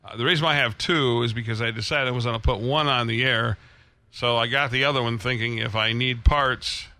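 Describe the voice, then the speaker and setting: A man hosting a casual radio chat about old transmitters, rambling with many pauses and restarts. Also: mid-range voice, steady tone, pushed and bright, no room sound.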